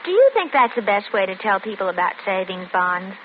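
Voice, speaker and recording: An actress playing a skeptical, teasing young wife in a 1940s radio skit, coy and playfully contrary. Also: female voice, mid-range voice, big pitch swings, fairly quick, close to an everyday voice. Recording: tinny old radio broadcast with hiss.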